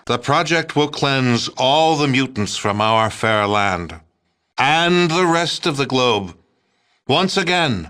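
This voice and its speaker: A man's voice: medium pitch, fairly bright tone, lightly acted. Self-righteous, fanatical American president played by a man. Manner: folksy politician's oratory, righteous and patronizing. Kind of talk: video game villain dialogue.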